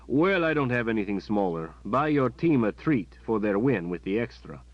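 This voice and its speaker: A man voicing a kindly, gruff middle-aged guard captain who sounds bluff and self-conscious. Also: lowish voice, big pitch swings, moderate pace, no echo, dull audio.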